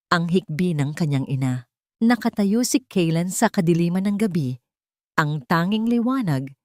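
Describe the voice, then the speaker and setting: Casting: female Filipino storyteller, lowish register, scripted dramatic delivery, very dry recording. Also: darkish tone, no echo. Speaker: story narrator.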